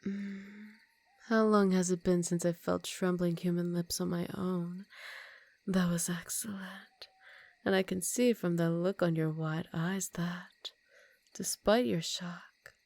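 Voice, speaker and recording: A woman's voice: lowish voice, steady tone, slow pace, creaky, a little mellow, no room sound, exaggerated voice. A woman voicing a seductive, commanding vampire countess in an intimate ASMR roleplay, teasing and often dropping into whispers. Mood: seductive, amused.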